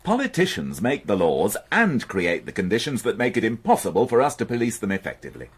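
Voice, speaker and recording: Male police inspector, radio crime drama. Husky, very animated, dry and defensive. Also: lowish voice, brisk, exaggerated voice. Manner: dry, sardonic.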